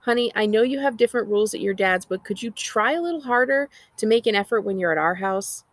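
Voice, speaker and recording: A woman's voice: mid-range voice, expressive, fast, dry recording, close to an everyday voice. An American woman voicing a busy, nagging but affectionate mother in a storybook read-aloud. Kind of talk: storybook character reading.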